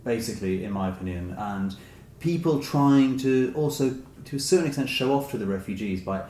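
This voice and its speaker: A man giving reflective, conversational answers as an author being interviewed, often softening his points. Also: mid-range voice, expressive, fairly quick. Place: indoor room with echo. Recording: echoey room, some background noise.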